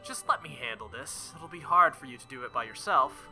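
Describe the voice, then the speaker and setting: A man's voice: fairly high pitch, lively intonation, brisk, sharp tone, faint music behind, full character voice. A man reading the lines of a bossy, teasing teenage girl mage from a visual novel, in his own voice. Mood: coaxing.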